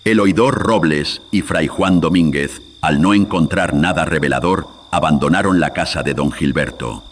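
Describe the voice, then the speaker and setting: An extremely low male voice narrates a horror radio drama. He tells it solemnly and dramatically, like an old radio serial, through a processed filter. Crickets and faint noise sit behind him. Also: moderate pace.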